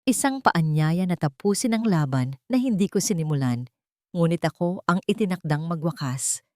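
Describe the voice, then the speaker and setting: Woman, lowish voice, dry studio sound, scripted dramatic storytelling, solemn and measured. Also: lively intonation, warm tone, no echo. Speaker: narrator of an audio story. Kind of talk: scripted first-person dramatic narration.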